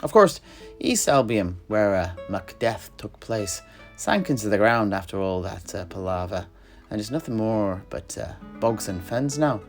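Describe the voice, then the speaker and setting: A man's voice: on the low side, sing-song, a little slow. A man playing an earthy, chatty alchemist and druid in a fantasy audio drama, telling things in a rambling, rustic way. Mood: wry storytelling. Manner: folksy, rambling storyteller with rustic dialect turns. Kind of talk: in-character fantasy audio roleplay. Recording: music behind the voice.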